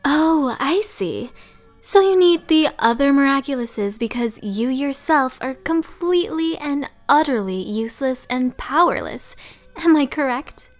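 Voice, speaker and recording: A woman's voice: high voice, lively intonation, a little slow, soft background music. A woman voicing a mocking, vengeful young villainess gone rogue in a fan cartoon comic dub. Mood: mocking defiance. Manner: taunting, sneering.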